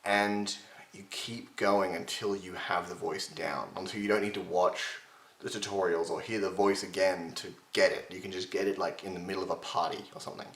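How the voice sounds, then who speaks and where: A man in his twenties giving casual voice-impression tips to a webcam at home, with a slightly gravelly, strained voice and a chatty, self-mocking manner. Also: deep voice, fairly even intonation, normal speed, fairly bright tone, echoey room. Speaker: voice impression tutor. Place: home room with shelves, indoors. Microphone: webcam at desk distance.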